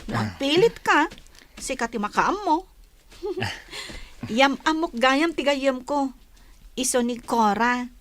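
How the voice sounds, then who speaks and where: A woman's voice: high voice, expressive, slow, pauses often, fairly bright tone, a little hiss or hum, exaggerated voice. A woman playing an outspoken, teasing young village woman in a radio drama, with an Ilocano accent.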